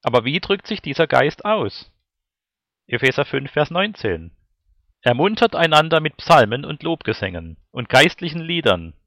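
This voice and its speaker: Prediger mit sehr lebhafter Sprachmelodie. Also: male voice, on the high side, sing-song, moderate pace, a bit bright, no echo, clean studio sound. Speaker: preacher. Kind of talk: sermon with scripture and verse readings.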